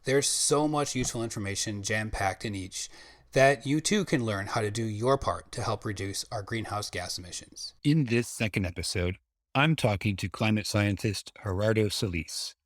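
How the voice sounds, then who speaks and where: Male host and educator, slightly low pitch, dry studio sound, upbeat read. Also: normal speed, no echo. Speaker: podcast host and design educator. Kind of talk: scripted intro then casual interview.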